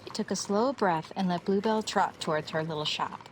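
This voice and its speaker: A woman's voice: lowish voice, moderate pace, a little hiss or hum, poor audio. Woman narrating fantasy with faint ambience behind. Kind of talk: scripted fantasy story narration.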